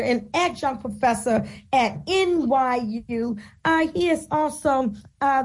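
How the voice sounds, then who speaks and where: A woman's voice: high voice, a little mellow, breathy edge, poor audio. A woman hosting a radio talk show, introducing her program and guest with emphatic, declamatory delivery.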